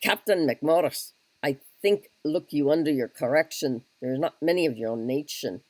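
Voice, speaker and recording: A man's voice: fairly high pitch, moderate pace, no room sound. A man doing a fussy captain. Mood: earnest. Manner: fussy, wordy, repeats "look you". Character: pedantic talkative male Welsh captain. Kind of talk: solo dramatic reading of a stage play.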